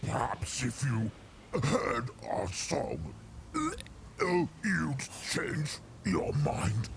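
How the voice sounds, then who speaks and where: A man voicing a gloating, diseased giant mutant marine in an audio drama, with a gurgling, phlegmy hiss and a mocking, drawn-out delivery. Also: medium pitch, lively intonation, gravelly, pitched above the performer's own voice, exaggerated voice. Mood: mocking coaxing. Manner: slow, drawn-out, mockingly gleeful.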